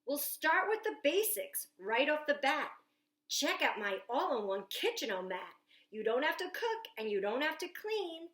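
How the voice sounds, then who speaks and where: An imaginative young boy proudly leads a tour of his invented house, as a woman reads him aloud. The storybook rhymes bounce along slowly, high and swooping. The sound rings in an echoey room. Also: big pitch swings, a bit bright, heavily acted. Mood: proud, excited. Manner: bouncy rhyming read-aloud, stretched words.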